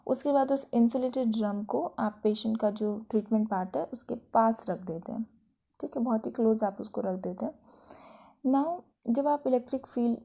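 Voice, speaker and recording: A woman's voice: medium pitch, warm tone. An Indian woman giving an explanatory physiotherapy lecture in an instructive manner, mixing in English technical terms.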